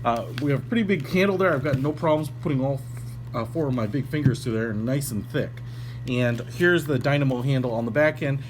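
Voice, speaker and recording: A man talks through a product in a casual, off-the-cuff unboxing style, chatty and informal. His pitch sits in the middle range, and a clear hum and noise run under the recording. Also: fairly quick. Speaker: product reviewer. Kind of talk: casual unboxing review, ad-libbed.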